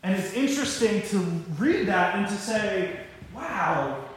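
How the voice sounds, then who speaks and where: A man's voice: sits high, lively intonation, unhurried. A man in his thirties preaching a sermon as a pastor. He speaks earnestly and often repeats words as he works through his thoughts. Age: 30s. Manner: earnest, repeats words while thinking aloud. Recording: very echoey room, faint noise.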